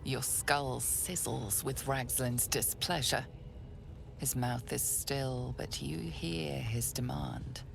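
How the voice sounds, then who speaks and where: A woman playing a solemn storyteller, giving measured, ominous scripted narration for a fantasy video game. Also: extremely low pitch, steady tone, gravelly, background noise, heavily acted. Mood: grave, tense.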